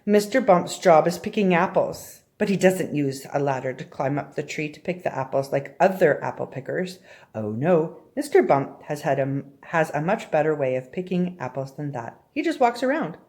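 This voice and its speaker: A woman's voice: sits low, normal speed, darkish tone. A woman reading a children's story aloud expressively, often turning to address young listeners directly with questions. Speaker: storybook reader.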